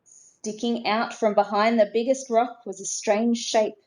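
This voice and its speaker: Woman reading a kids picture book, really unhurried, expressive, dramatic emphasis. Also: fairly high pitch, low-quality recording. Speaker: storybook reader. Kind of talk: expressive children's story narration.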